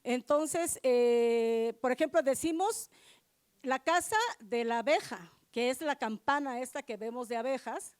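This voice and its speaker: A woman whose first language is Zapotec, explaining her work as a translator to an audience with hesitant pauses and fillers. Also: fairly high pitch, smooth. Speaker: translator at a book presentation. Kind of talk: informal public remarks.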